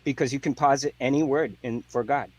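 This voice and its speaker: A man's voice: medium pitch, fairly even intonation, a little fast, darkish tone, highs cut off. A fifty-year-old man debating, casual and rambling, frustrated at being talked over. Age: 50s. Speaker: debate guest. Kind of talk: heated debate.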